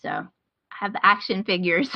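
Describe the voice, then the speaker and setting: A woman's voice: mid-range voice, big pitch swings, moderate pace, creaky. Woman podcast host, slightly dull audio. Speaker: podcast host giving recommendations. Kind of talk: casual podcast chat.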